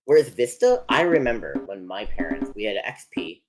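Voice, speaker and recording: Lively young man chatting on stream. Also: fairly low pitch, normal speed, over loud music. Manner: animated, laughs often, reads out chat. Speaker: co-host. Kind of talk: casual chat.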